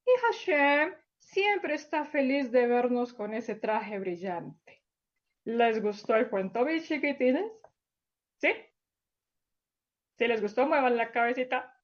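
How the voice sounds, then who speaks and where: A gentle plush lion puppet, acted by a woman, coaxes little children along in a sweet, affectionate singsong. The voice sits a bit high and moves at an unhurried, drawn-out pace. It comes through a dull, lo-fi recording. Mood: tender. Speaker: children's storyteller. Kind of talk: children's puppet show storytelling.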